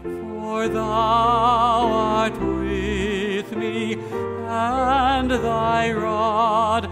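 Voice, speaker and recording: Female hymn singer, high and very slow, loud accompaniment. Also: flat delivery, over loud music. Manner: slow, sustained singing. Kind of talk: hymn singing.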